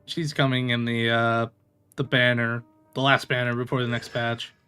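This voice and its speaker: A man doing casual live gameplay commentary in a playful, expressive way. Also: mid-range voice, moderate pace, clear, resonant tone. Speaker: game streamer. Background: music.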